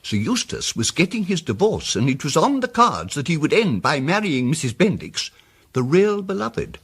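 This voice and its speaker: A mild, apologetic amateur detective in a radio mystery, his fairly high voice rising and falling dramatically. He is hesitant, fussy and politely precise, with a husky edge. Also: big pitch swings, normal speed, fairly bright tone, exaggerated voice. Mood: delicate, grave.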